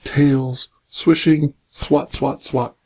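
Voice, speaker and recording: A man reading a picture book aloud in a soft, gentle, partly whispered ASMR bedtime style with casual asides, close to the microphone. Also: mid-range voice, steady tone, very slow. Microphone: close binaural microphone. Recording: clear background noise, binaural ASMR microphone.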